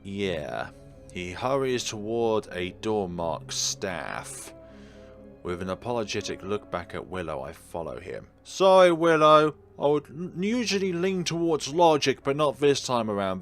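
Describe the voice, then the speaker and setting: A man with a slightly low voice plays commentator over a game. He reads narration aloud and wryly answers the characters, with faint music behind him. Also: sing-song, a little slow. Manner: wry, talking back to the characters. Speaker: let's play commentator.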